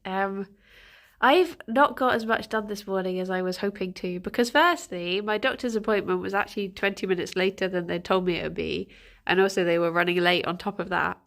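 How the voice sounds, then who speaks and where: A woman's voice: medium pitch, brisk, gravelly, a bit bright. Casual woman streamer, young, stuffy-nosed, giggly and chatty. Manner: chatty, giggly, greets viewers by name. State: congested, stuffy nose. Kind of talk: casual morning chat with viewers.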